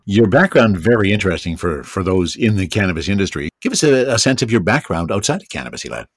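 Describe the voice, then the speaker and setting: Lively low-voiced man hosting a podcast. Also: fast. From Canada. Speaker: podcast host. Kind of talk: podcast interview and thank-yous.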